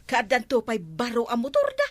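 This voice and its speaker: A woman's voice: on the high side, huge pitch swings, a little fast, dry recording. A woman with an Ilocano accent playing a nosy, chatty wife in a comedy drama. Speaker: gossiping wife and mother.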